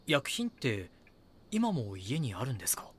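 An actor playing a young magazine reporter in a voice drama, asking things in a polite, hesitant, hushed way. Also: male voice, mid-range voice, huge pitch swings, normal speed, husky. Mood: polite, probing. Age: young adult. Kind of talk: voice drama acting, true-crime reenactment.

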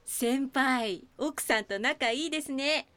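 An actress playing a cheeky, teasing junior police colleague in an audio drama. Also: female voice, sits high, takes it slowly, fairly bright tone. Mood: playful, teasing. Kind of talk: Japanese audio drama acting.

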